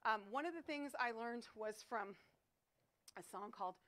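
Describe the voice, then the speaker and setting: A middle-aged woman preaching a chapel sermon to students in an auditorium, emphatic and animated, asking rhetorical questions, picked up by a distant camera. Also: fairly high pitch, moderate pace. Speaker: chapel speaker. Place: school chapel auditorium with stage.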